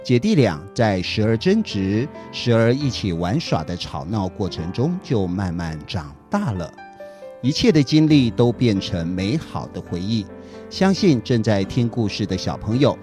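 A middle-aged man narrates for children. His voice is lowish and very animated, with a Taiwanese Mandarin accent. Soft music plays behind him. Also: a little slow. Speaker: storyteller host. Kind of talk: scripted narration addressed to children.

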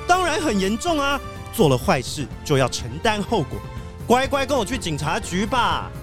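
A woman's voice: medium pitch, big pitch swings, moderate pace, over music, lightly acted. A woman playing a dramatic, earnest wolfdog police chief with an emphatic, scolding manner in a children's audio drama. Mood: stern scolding.

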